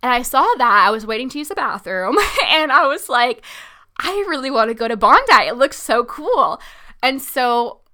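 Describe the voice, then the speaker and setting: A woman's voice: fairly high pitch, expressive, moderate pace, pushed and bright. A twenty-five-year-old woman hosting a podcast, chatting casually and upbeat, with lots of "like" and "um", answering listener questions. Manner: chatty, upbeat, lots of "like" and "um", laughs between thoughts. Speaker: podcast host.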